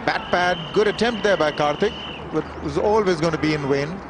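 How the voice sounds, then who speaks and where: A man's voice: fairly high pitch, moderate pace. A male cricket commentator describing play and replays on live TV. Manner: exclaims at wickets, then explains calmly. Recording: loud crowd and noise, outdoor broadcast. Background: loud crowd and ground noise.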